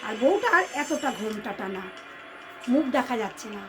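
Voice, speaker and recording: Female storyteller, high pitch, expressive suspenseful delivery speaking straight to listeners, over background music. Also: moderate pace. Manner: expressive, addresses listeners as friends, repeats phrases for suspense. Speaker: storyteller, channel host. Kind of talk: dramatic oral ghost storytelling to listeners.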